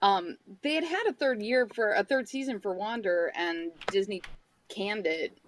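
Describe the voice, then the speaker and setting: A woman's voice: medium pitch, moderate pace. A young woman chatting casually and emphatically as a podcast panelist. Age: young adult. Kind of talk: casual chat.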